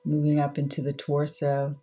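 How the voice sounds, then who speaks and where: A woman with a low, slightly husky voice guides a meditation. Her tone is mellow and relaxed, and she delivers short, measured phrases with unhurried pauses between them. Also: faint music behind. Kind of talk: guided meditation, slow soothing instruction.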